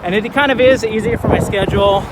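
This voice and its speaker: A man in his thirties talking casually in a vlog, relaxed and rambling with lots of fillers, recorded outdoors while skating. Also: high voice, fast talker, heavy background noise. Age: 30s. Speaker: vlogger.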